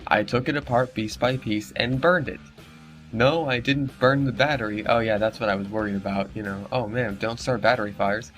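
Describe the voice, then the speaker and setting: A man's voice: medium pitch, fairly even intonation, moderate pace, fairly bright tone. Guy doing a mock dramatic story reading, sarcastic fed up asides, music playing behind him. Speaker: narrator reading and mocking a horror story. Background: background music under the voice.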